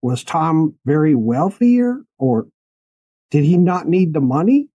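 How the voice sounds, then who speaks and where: A man's voice: a little high, big pitch swings, slow pace, warm tone, highs cut off. A man hosting a conversational interview, with a folksy, curious way of talking. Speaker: podcast host interviewing guests.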